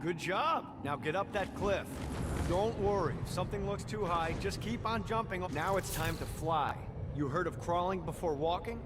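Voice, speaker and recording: An actor playing a brash, sarcastic mentor who gives wry, casual instructions as a video game character. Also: male voice, high-pitched, moderate pace, very noisy, natural voice. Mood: wry instructing. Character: brash, sarcastic, foul-mouthed male mentor guide. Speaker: tutorial guide character.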